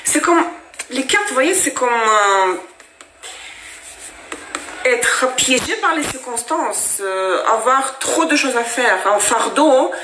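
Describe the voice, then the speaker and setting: A woman's voice: high voice, expressive, slow pace, pauses often, sharp tone, slight background noise. A woman whose first language is Russian, giving a casual tarot reading to viewers in a chatty, explaining way with frequent hesitations. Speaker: tarot reader. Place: at home with her cat. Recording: echoey room.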